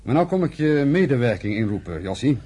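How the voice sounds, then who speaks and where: An actor playing a militant, impatient pioneer settler in a Dutch radio play, arguing forcefully with his brother. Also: mid-range voice, sing-song, a little fast, breathy edge. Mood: persuasive. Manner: forceful, argumentative, scornful.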